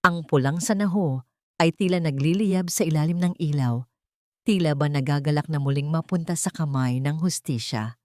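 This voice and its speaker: A woman's voice: sits low, normal speed, dry recording, studio quality. A woman reading a scripted, dramatic audio story as its narrator.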